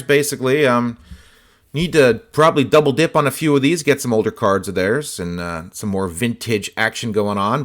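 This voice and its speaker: A man with a slightly hoarse, scratchy voice, chatting casually as host while showing autographed sports cards. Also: medium pitch. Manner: relaxed, chatty, many fillers and asides. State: slightly hoarse, voice partly lost. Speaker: host of a card-collecting channel.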